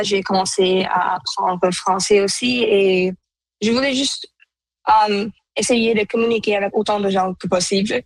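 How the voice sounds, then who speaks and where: Halting young woman, native Punjabi speaker, dry sound. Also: mid-range voice, no echo, poor audio. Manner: halting second-language French, searching for words. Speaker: interview guest. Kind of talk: casual conversational answers.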